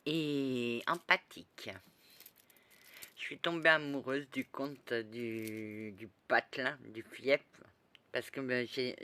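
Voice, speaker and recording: A woman telling a rambling, lively story in a casual spoken way, full of restarts and asides. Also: extremely low pitch. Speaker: storyteller recounting past lives.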